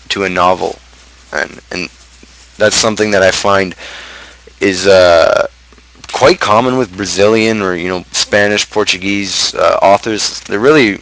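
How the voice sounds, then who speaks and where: A man with a North American accent speaks in a fairly low voice. He talks like the host of a casual online stream, loose and rambling. His delivery is blunt and slangy, with a crude edge. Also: a bit bright, low-quality recording. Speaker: host of a gaming channel's talk show. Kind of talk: casual livestream chat, book review.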